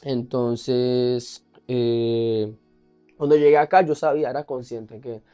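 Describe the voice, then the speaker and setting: Male interview guest, Colombian accent, soft mellow tone, mid pitch, candid and conversational, light music behind. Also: level tone, slow pace, smooth. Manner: candid, often laughing as he talks. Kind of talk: casual personal storytelling.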